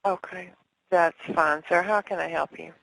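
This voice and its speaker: A woman's voice: fairly low pitch, steady tone, normal speed, vocal fry, a bit bright, no room sound, low-quality recording. A woman working as a customer service agent, talking politely and formally on a call.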